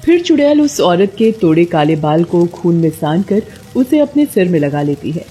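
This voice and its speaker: A woman's voice: lowish voice, very animated, normal speed, warm tone, breathy edge. A woman narrating a scripted bedtime story as storyteller. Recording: dull lo-fi audio.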